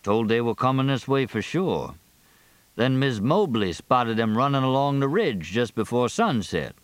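A man's voice: mid-range voice, very animated, unhurried, dry recording, pitched above the performer's own voice. A man voicing a small-town sheriff, wry and plain-spoken, in a novel reading. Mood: grave, puzzled. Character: dry-humored, folksy small-town Southern sheriff, long married.

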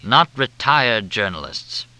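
A man's voice: lowish voice, big pitch swings, takes it slowly, pushed and bright, clear, resonant tone, no room sound, exaggerated voice. A man voicing an old, bitter retired journalist speaking wearily. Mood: rueful. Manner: weary, bitter.

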